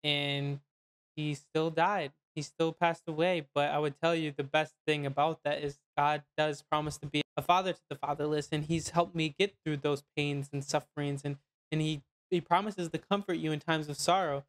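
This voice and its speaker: A man's voice: on the high side, fairly even intonation, moderate pace, clear, resonant tone. A young man giving casual reaction commentary, informal and sincere. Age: young adult. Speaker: reaction video host. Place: indoors at a desk, webcam view.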